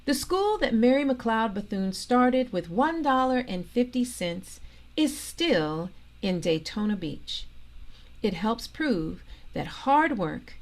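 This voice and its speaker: Female storytime reader, mid-pitched, unhurried and expressive, phrasing carefully for young listeners. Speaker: storytime reader and host, organisation president. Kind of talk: reading a children's biography aloud.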